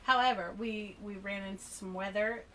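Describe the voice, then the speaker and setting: A woman guest speaks in a relaxed conversational style. Her voice is of medium pitch, heard from a little way off the microphone over faint background noise. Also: moderate pace, recorded from a distance, poor audio. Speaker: podcast guest, sailor. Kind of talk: casual chat.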